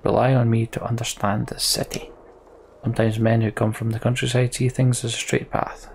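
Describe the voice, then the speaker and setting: A man voicing a dutiful middle-aged guard captain in a fantasy game, formal and deliberate. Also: mid-range voice, little pitch movement, vocal fry, slight background noise, close to an everyday voice. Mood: measured counsel.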